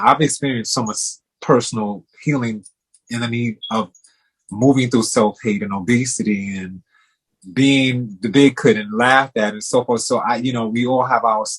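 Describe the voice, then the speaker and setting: A man's voice: mid-range voice, breathy edge, rough recording. A man hosting an interview, responding to his guest in a supportive, affirming way with many "you know" asides. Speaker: host, therapist-style interviewer.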